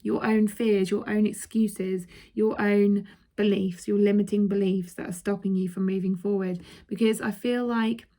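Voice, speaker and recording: A woman with a croaky throat doing a casual card reading for her viewers. She speaks chatty and direct, often checking in with "okay?" and laughing between points. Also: medium pitch, flat delivery, normal speed, warm tone. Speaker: intuitive card reader.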